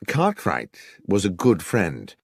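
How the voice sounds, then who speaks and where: Low, expressive British male audiobook narrator. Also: slow, gravelly, husky, no room sound, studio quality. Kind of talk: scripted dramatic first-person narration.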